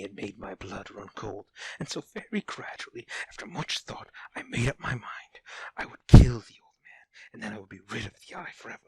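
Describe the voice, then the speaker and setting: An actor playing an obsessed, unhinged murderer in an audio drama horror monologue, theatrical, moving between breathy hush and sudden outbursts. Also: male voice, fairly low pitch, poor audio. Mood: hushed, menacing. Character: obsessed, unhinged murderer confessing his careful crime.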